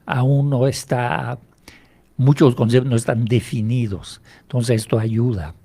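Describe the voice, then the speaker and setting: Man, mellow warm tone, explains patiently, poses his own questions, radio guest. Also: mid-range voice, steady tone, husky. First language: French. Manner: explanatory, measured, asks and answers own questions. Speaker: hypnotherapist guest. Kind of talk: radio interview. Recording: radio interview.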